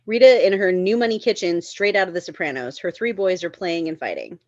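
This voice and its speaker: A woman's voice: fairly low pitch, animated, fairly quick, no echo, lo-fi sound. A woman reading stage directions at a live script table read, informal and lightly amused.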